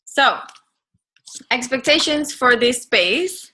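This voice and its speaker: A woman's voice: high-pitched, animated, slow pace, pushed and bright, slightly rough. A woman in her twenties presenting a webinar in an informal, giggly manner.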